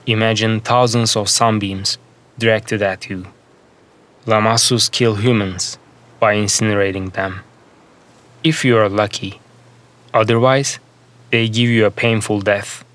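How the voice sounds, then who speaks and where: A man with a fairly low voice tells a scripted fantasy story in the first person. He goes very slowly, with deliberate phrasing broken into short segments. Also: steady tone, a bit bright.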